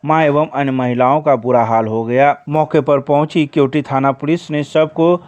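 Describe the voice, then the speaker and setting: A man's voice: on the high side, steady tone, moderate pace, a little mellow, no echo. Man reading local news, dry sound. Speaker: news reader. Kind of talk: scripted local news narration.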